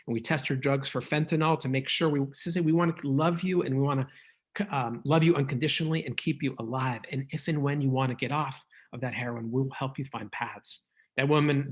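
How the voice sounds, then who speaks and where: Brief: middle-aged male rabbi, sermon rhythm, mid-pitched, earnest storyteller. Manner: earnest, preacher-like cadence with Hebrew terms. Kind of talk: conference talk, sermon-like storytelling.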